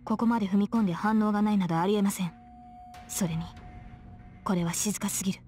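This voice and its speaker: A young woman knight from a visual novel, acted by an actress. She is formal, earnest and watchful. Her lines come very fast, at a middle pitch. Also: steady tone, mellow, soft background music, natural voice. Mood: guarded, composed. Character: formal, vigilant young female knight servant. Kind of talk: Japanese visual novel voice acting.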